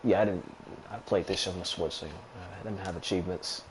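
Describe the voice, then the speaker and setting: A man's voice: sits low, steady tone, brisk, slight background noise, poor audio. A young man giving offhand, chatty, profane commentary on a video game playthrough, with game music behind him. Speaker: gaming video host.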